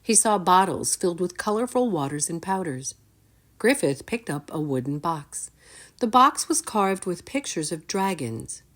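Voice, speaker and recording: Low-voiced, expressive woman reading a story. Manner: expressive, deliberate. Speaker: storytime reader. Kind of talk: children's story read-aloud with questions to listeners.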